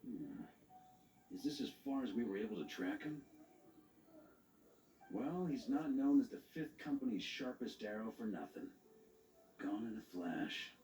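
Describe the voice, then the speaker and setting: An actor playing a composed, observant knight investigator in a fantasy video game, articulate, measured and wry. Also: male voice, fairly low pitch, lively intonation, a little mellow. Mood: wry, thoughtful. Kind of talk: scripted video game voice acting. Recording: echoey game audio with clear noise.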